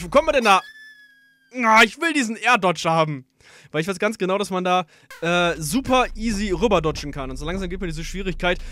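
High-voiced, swearing gameplay commentator. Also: male voice, pushed and bright, slight background noise. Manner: swearing, slangy, easily annoyed. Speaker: let's play host. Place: home gaming setup. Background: faint game music.

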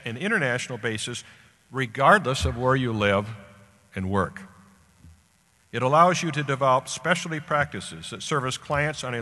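An older American man, around seventy, delivering a formal scripted commencement address at a podium microphone in deliberate, emphatic phrases. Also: medium pitch, pushed and bright. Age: older, about 70. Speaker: guest speaker at a graduation ceremony. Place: large indoor auditorium stage at a podium. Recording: echoey hall public-address sound.

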